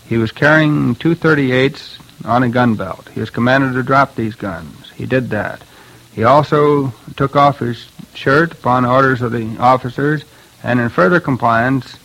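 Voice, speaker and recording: A man's voice: mid-range voice, a bit bright, low-quality recording. A man, a former law officer, giving a scripted account in a stiff, official manner.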